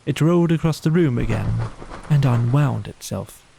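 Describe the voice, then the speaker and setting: A man's voice: medium pitch, animated, moderate pace, vocal fry, dark tone, a little hiss or hum. A man narrating a children's bedtime story from a script as an audiobook reader.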